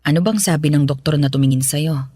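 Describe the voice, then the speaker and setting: A middle-aged woman playing a caring aunt in a radio drama, speaking gently and with concern. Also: sits low, fairly quick, slightly rough, dry recording. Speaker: aunt Tita Joan.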